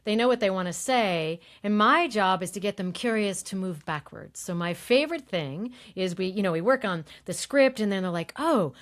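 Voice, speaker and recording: A woman voice coach explaining in a relaxed interview, her voice on the higher side, and she often demonstrates tones with it. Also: moderate pace, few pauses. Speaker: voice coach guest. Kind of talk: conversational interview, demonstrating voice techniques.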